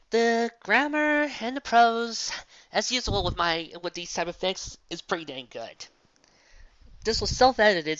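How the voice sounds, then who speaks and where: A woman giving a casual, off-the-cuff story review in a halting, think-aloud manner. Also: medium pitch, a bit bright, no echo, lo-fi sound. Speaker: fan fiction reader and reviewer.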